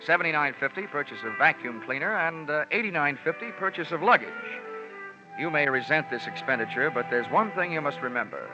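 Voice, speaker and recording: An actor playing a wry, wisecracking insurance investigator narrating in 1940s radio detective style. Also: male voice, fairly high pitch, animated, a little fast, close to an everyday voice. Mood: dry, wry. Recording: dull old radio recording with music.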